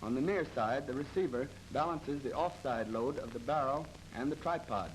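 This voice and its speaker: A noisy old film soundtrack from the 1930s carries an American man reading scripted military instruction. His voice sits at a medium pitch, and his delivery is formal, measured and matter-of-fact, with some noise around the words. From United States. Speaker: military training film narrator.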